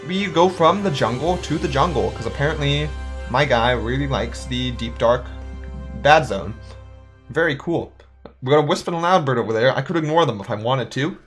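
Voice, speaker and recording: A man's voice: medium pitch, expressive, brisk, faint music behind. A man hosting a gaming channel, chatting casually and thinking aloud as he works out his moves and counts up his dice. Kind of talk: let's play commentary.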